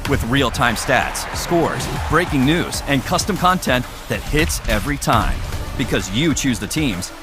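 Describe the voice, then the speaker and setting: A man's voice: medium pitch, lively intonation, a little slow, over music. A man reading a scripted promotional voice-over for an advert.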